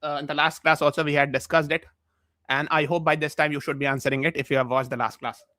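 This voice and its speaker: A feverish man with an Indian accent teaches a live online exam-prep class. His voice is fairly high and bright, recorded dry. He explains by repeating key points and tossing questions to the learners. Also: level tone, quick pace, no room sound. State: mildly feverish.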